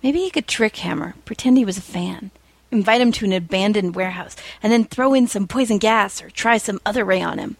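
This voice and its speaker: A woman reading fan fiction aloud as an expressive storyteller, with a wry, informal manner. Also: mid-range voice, a little fast, creaky, no echo, poor audio.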